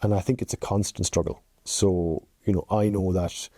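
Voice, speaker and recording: A man's voice: sits low, steady tone, moderate pace, dark tone, no room sound, studio quality. A man in his forties with an Irish accent, chatting in anecdotes as an interview guest on a podcast. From rural Ireland. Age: 40s. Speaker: podcast guest, chief executive. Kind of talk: conversational interview answers.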